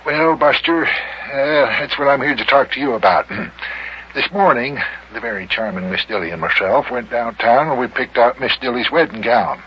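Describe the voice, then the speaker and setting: A man's voice: medium pitch, normal speed, close to an everyday voice. Man playing a folksy old mayor, muffled vintage radio. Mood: folksy, pleased. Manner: rambling, stammering, chuckling, rustic grammar. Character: folksy, bashful old small-town mayor and bridegroom. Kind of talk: old-time radio comedy acting. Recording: dull, noisy vintage radio recording.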